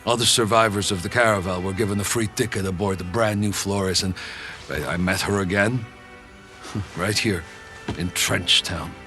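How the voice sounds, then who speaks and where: An actor playing a weary, gruff older mechanic and surrogate father in a video game, plain-spoken like someone telling his story. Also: deep voice. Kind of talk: video game cutscene acting.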